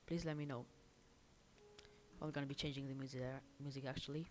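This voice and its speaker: A woman's voice: a bass voice, fairly even intonation, brisk, darkish tone. A woman casually chatting on a livestream as she works, thinking aloud in an informal, self-deprecating way. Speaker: livestreamer and hobby game developer. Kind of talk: casual livestream chat while working. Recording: music and typing behind the voice. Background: background music, keyboard typing.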